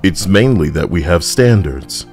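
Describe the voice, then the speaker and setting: A man's voice: sits low, animated, unhurried, a little mellow. A man giving casual, sardonic, rambling video game commentary as host, close on the mic. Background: music behind the voice.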